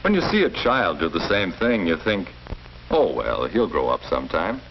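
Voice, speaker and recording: Casting: middle-aged 1940s school principal, avuncular and measured, lively inflection, noisy vintage film sound. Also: male voice, deep voice, lo-fi sound. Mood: calm, earnest. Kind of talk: 1940s educational film acting.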